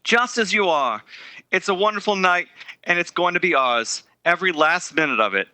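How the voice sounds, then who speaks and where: A man acts out a young suitor from a 1930s radio play. His voice is extremely bright and slightly high, with lively inflection. He is eager, romantic and full of sweet talk. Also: normal speed, full character voice. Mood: ardent, tender. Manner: ardent, romantic.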